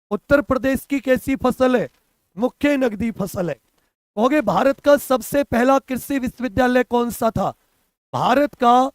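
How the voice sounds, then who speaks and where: A hoarse young man teaching a live online exam-prep class, repeating answers and quizzing his students. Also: very high-pitched, dry recording. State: hoarse from a cough.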